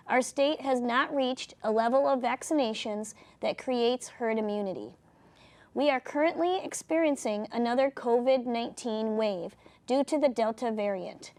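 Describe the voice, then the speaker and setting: A woman reading an open letter aloud in a formal, deliberate way, in short phrases. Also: on the high side, darkish tone. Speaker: council chair.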